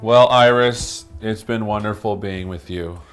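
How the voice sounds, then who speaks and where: A man's voice: on the low side, unhurried. A middle-aged man hosting a travel visit, chatting casually in a playful, self-deprecating way. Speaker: show host on a travel visit. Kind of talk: casual joking chat. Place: on location, outdoors and at a dining table. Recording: music behind the voice.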